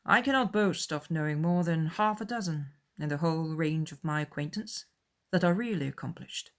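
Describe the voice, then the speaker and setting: Lady doing a stiff proud gentleman, grave n measured, formal period audiobook talk. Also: sits low. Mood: reserved, superior. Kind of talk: dramatized period novel audiobook.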